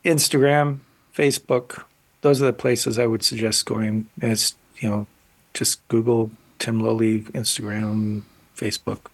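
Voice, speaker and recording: An older man, a guest artist on a radio interview, answering thoughtfully with frequent restarts and pauses. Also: fairly low pitch, level tone, no room sound.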